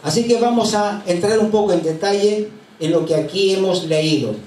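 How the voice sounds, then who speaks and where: High-voiced older pastor, distant and roomy. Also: male voice, darkish tone, a little hiss or hum. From Chile. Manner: unhurried anecdotal storytelling with frequent hesitations. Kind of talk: sermon. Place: church sanctuary. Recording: roomy church sound from a distant mic.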